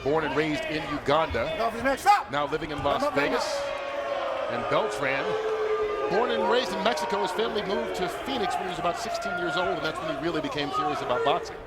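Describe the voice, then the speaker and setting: Boxing play-by-play guy, high voice, big crowd and noisy feed. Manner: brisk play-by-play calling. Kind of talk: live sports commentary. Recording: broadcast over loud crowd and noise.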